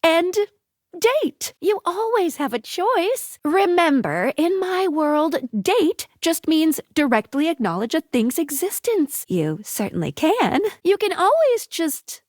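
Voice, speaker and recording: Video game guide character: bubbly, quirky talking object, very high and animated, playful. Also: female voice, takes it slowly, dry recording, clean studio sound, heavily acted. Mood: helpful, chirpy. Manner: perky, playful, expressive.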